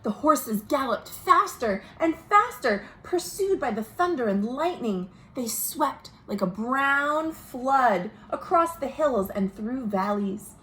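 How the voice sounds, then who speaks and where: Slow, higher-voiced woman reading to children. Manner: expressive storytelling with asides and questions to young listeners. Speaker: children's librarian hosting virtual storytime. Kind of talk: read-aloud picture book narration for children. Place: at home. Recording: noisy home recording.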